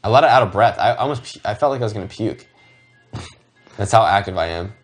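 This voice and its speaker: A man's voice: fairly low pitch, lively intonation, fast talker, soft background music. A man speaking casually as a show host giving commentary, candid and blunt in how he talks.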